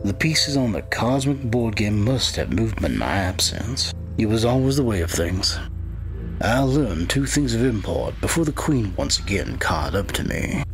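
Man voicing a low, gravelly undead wight. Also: full character voice. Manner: brooding, deliberate, theatrical. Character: ancient undead body-stealing wight, cold, menacing, patient. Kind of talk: dark fantasy audio drama narration.

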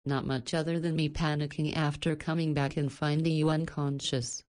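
A woman's voice: sits low, level tone, moderate pace, darkish tone, natural voice. An actress playing a caring, flirty woman in a visual novel, chiding and teasing like a doting guardian. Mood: concerned, warm.

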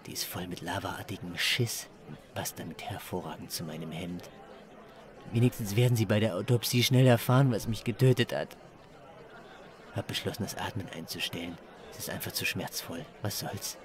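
A man's voice: low voice, normal speed, crowd noise, close to an everyday voice. A man playing a crude, despairing chili judge who complains melodramatically in a comic story. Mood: resigned despair.

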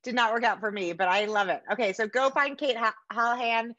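A middle-aged American woman hosting a casual interview over a video call, effusive and quick to poke fun at herself. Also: on the high side, steady tone, a bit bright, no room sound, dull audio. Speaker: podcast host interviewing an author. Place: book-lined room at home, indoors.

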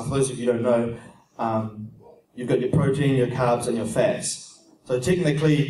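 A young man with a New Zealand accent answers audience questions in a steady, plain explaining manner, often pausing on "um", speaking into a handheld mic in a large conference room. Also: mid-range voice, far from the mic, a little hiss or hum. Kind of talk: casual Q&A seminar talk. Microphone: handheld mic, heard from a distant camera. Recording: echoey room heard from afar.

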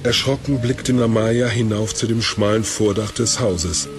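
A man's voice: lowish voice, fairly even intonation, measured pace, music in the background. A man reading scripted narration for a fantasy audio drama.

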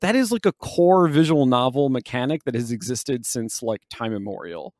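A man's voice: mid-range voice, expressive, moderate pace, darkish tone, no echo, studio quality. A man co-hosting a podcast, explaining things casually and loosely, with plenty of hedging. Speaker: podcast co-host. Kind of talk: casual game discussion.

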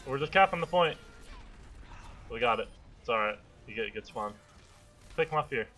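Bright-voiced man in game chat. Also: medium pitch, animated, normal speed. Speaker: gamer playing in a team shooter. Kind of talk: casual in-game team chat.

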